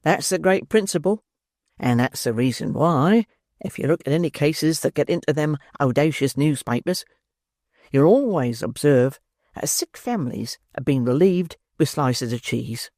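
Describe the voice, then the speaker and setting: A reader voicing a pompous, self-important male parish beadle who lectures in a lively, rising voice. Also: mid-range voice, moderate pace, no echo, clean studio sound, natural voice. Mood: self-satisfied.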